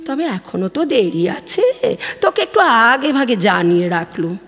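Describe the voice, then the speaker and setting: High-voiced, anxious West Bengal mother played by a woman. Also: sing-song, normal speed. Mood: anxious, probing. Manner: colloquial, insistent, questioning. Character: anxious, nagging, needy village mother on the phone. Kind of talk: dramatic Bengali audio play dialogue. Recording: long studio reverb, loud music underneath. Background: loud music.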